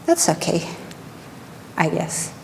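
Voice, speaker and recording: A woman from Sweden with a Swedish accent, calmly guiding a movement class with unhurried instructions and frequent pauses. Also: big-room sound, slight background noise. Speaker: movement teacher.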